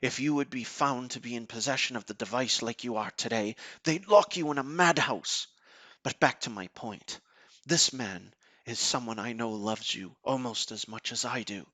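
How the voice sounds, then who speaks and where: A man playing a commanding, fatherly leader of a superhuman team in an amateur audio drama, speaking in a measured, explanatory way. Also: mid-range voice, pushed and bright, no echo. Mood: earnest, fatherly.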